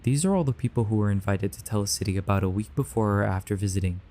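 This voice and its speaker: A man's voice: lowish voice, fast talker, mellow, lightly acted. A man playing a grave, earnest believer warning others of a sinister conspiracy in an audio drama. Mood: grave, urgent. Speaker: voice actor.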